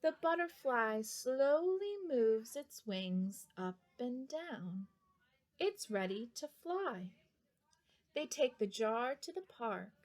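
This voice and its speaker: A woman's voice: on the high side, very animated, slow. A woman reading a picture book aloud for young children, addressing her young listeners directly. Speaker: children's storybook reader.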